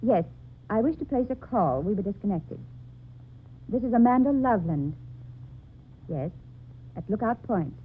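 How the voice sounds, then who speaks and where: A woman's voice: mid-range voice, animated, moderate pace, pauses often, natural voice. An actress playing an anxious, impatient young woman painter in an old-time radio drama, theatrical and urgent. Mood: brisk, formal. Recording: tinny, noisy old radio recording.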